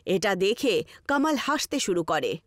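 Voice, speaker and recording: A woman's voice: medium pitch, sing-song, a little slow, no room sound, clean studio sound. A woman narrating a scripted horror story.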